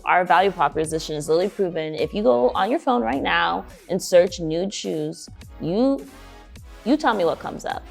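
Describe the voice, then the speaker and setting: A woman's voice: lowish voice, creaky. A young woman from Nigeria, a shoe brand co-founder, answering interview questions in an earnest, emphatic way.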